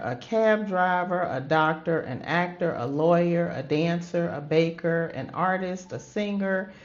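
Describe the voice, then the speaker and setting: A woman reading a children's story aloud as host, with dramatic, drawn-out phrasing in a grandmotherly manner. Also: lowish voice. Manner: addresses listeners as babies, grandmotherly. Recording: dull lo-fi audio with music behind. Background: background music.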